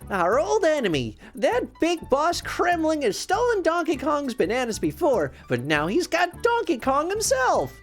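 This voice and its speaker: A woman voicing a plucky, excitable young male monkey in a storybook, speaking in an eager, emphatic way. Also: high-pitched, normal speed, soft background music, pitched above the performer's own voice, exaggerated voice. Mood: angry.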